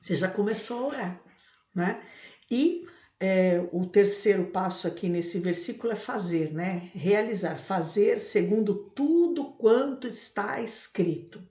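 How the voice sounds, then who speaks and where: Bright-less, mellow Brazilian woman teaching in echo. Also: medium pitch, a little slow. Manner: didactic, with rhetorical questions and frequent tag questions. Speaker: evangelical bishop and Bible teacher. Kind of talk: devotional teaching, explanatory talk. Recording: roomy, echoing room sound.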